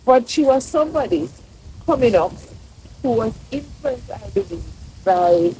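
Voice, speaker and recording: An older woman with a Jamaican accent, a professor talking as a guest in a relaxed outdoor interview, telling stories lively with local phrases. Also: high-pitched, unhurried, slight background noise, poor audio. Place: outdoor courtyard under a large tree.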